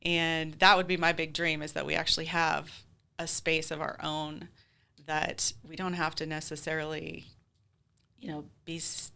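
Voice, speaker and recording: A woman's voice: low-pitched, little pitch movement, moderate pace, vocal fry, bright, forceful tone. A middle-aged woman answering interview questions as a community theater leader, speaking in an earnest, heartfelt, conversational way.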